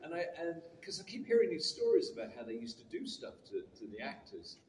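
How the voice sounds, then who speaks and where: A man's voice: on the high side, wildly swooping pitch, fast. A man in his sixties with a British accent tinged with Canadian, a chatty and self-mocking guest actor telling stories on a convention panel. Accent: British with a bit of Canadian. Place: large convention panel hall. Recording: echoey hall from far off with crowd noise.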